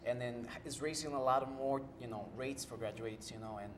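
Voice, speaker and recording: A man's voice: medium pitch, a little fast, a little hiss or hum. A man from Ecuador with an Ecuadorian Spanish accent, a native Spanish speaker, answering interview questions hesitantly with many fillers. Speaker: guest singer-songwriter.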